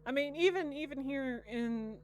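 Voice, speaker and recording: A woman's voice: high-pitched, moderate pace, a little hiss or hum. An American woman streaming a game, chatting casually with stammered, repeated words. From United States. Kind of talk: casual livestream commentary and reading aloud.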